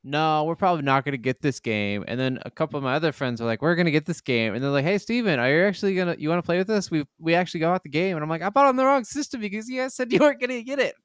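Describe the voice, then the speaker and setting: Smooth-voiced man in casual gaming chat. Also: a little high, expressive, very fast, hardly any pauses, dry recording, clean studio sound. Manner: informal, slangy, with casual swearing. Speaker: podcast co-host.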